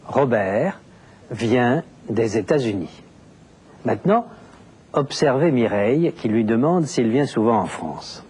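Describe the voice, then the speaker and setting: A man narrating a language lesson, explaining deliberately with very clear enunciation. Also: mid-range voice, expressive, a little mellow, a little hiss or hum. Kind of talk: scripted teaching narration.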